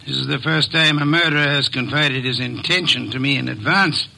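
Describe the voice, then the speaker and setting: An actor playing a ponderous, fussy genius detective in a 1940s radio drama, speaking formally and precisely with a gravelly voice. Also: male voice, mid-range voice, brisk, bright, forceful tone, a little hiss or hum. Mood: formal, wary. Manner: pompous, formal, precise diction.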